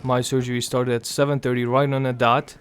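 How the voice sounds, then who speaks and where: A young man talks through a gameplay commentary in a weak, raspy voice, worn out and strained after surgery. He keeps it quiet and halting, pausing often, with a flat, even tone that stays smooth underneath the rasp. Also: medium pitch. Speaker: gaming commentator.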